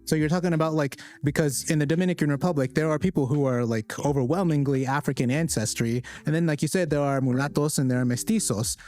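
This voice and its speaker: A man's voice: a little high, fast, few pauses, a little mellow, faint music behind. A man hosting a casual live discussion, explaining his view with many fillers and hesitations. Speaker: host.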